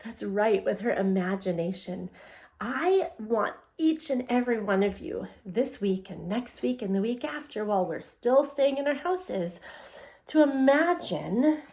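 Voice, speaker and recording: A woman teacher reading a picture book aloud to young children, encouraging and deliberate, putting questions straight to her listeners. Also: medium pitch, lively intonation, a little mellow. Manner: encouraging, asks questions and answers them.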